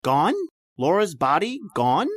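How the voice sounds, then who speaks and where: A man's voice: on the high side, sing-song, slow pace, dry recording, clean studio sound. A man playing a tense, accusing husband under suspicion in an old-time radio style horror drama, delivered in a confrontational way. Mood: suspicious. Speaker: actor in an audio drama.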